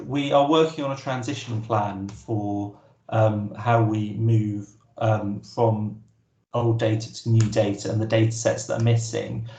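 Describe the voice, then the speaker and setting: A man's voice: on the low side, a little mellow. A man presenting an online workshop, answering questions casually with frequent restarts. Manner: casual, hesitant, many restarts. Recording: roomy echo.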